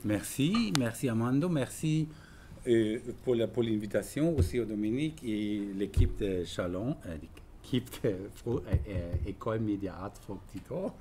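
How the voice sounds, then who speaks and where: A middle-aged man giving an artist's talk in a language that is not his first, halting and searching for words with many fillers. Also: on the low side, lively intonation, warm tone, slight background noise. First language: not French. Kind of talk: lecture talk.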